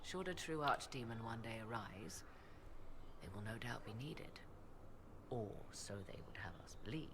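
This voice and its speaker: An actress playing a proud, enigmatic sorceress mother in a fantasy game, speaking formal, archaic English with a measured, haughty manner. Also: very deep voice, expressive, background noise. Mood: grave, wry. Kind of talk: dramatic fantasy game dialogue.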